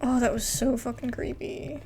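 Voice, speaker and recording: A woman's voice: fairly high pitch, fairly even intonation, normal speed, creaky, noisy background. A woman giving casual, candid and reactive gameplay commentary as the host of a gaming video.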